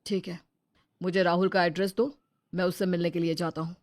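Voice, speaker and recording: A woman's voice: little pitch movement, brisk, a little mellow, no echo, studio quality, close to an everyday voice. A woman voicing a protective older brother. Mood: calm. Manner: firm, earnest, mostly low-key. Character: young adult male elder brother, protective and level-headed. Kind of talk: dramatized Hindi storybook dialogue.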